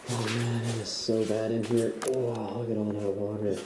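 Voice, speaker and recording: A man's voice: fairly low pitch, flat delivery, moderate pace. A young man chatting casually and reacting aloud in loose slang as he explores an empty building for a vlog. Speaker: urban explorer and vlogger. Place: inside large abandoned hospital building. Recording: echoey empty building, noticeable background noise.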